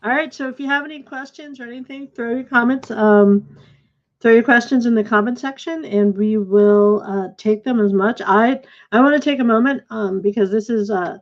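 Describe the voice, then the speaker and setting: A woman's voice: a little high, moderate pace. A woman hosting an informal online event in an effusive way over a video call. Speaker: host and play director. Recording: dull, lo-fi video call audio.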